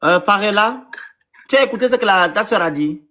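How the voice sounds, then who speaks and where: Bright, forceful male host. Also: high-pitched, lively intonation, a little fast. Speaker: host of a live call-in panel. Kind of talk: casual moderating of a live discussion.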